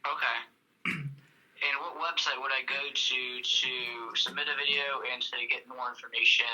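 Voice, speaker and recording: A man's voice: mid-range voice, level tone, normal speed, bright, forceful tone. A young man talking casually over a telephone, polite and a little hesitant. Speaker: prank call target. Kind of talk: casual phone conversation. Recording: voice over a phone call.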